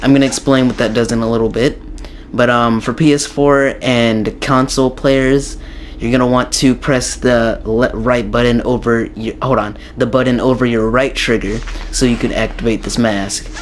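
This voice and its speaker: A young man with a slightly raspy, hoarse voice from a sore throat, giving a rambling casual game tutorial with many ums and restarts. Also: medium pitch, steady tone, normal speed, slight background noise. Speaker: gaming YouTuber.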